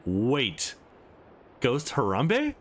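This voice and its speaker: A man's voice: mid-range voice, huge pitch swings, extremely slow, exaggerated voice. A man voicing a laid-back, crude gamer brother from a visual novel, slangy and joking. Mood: alarmed.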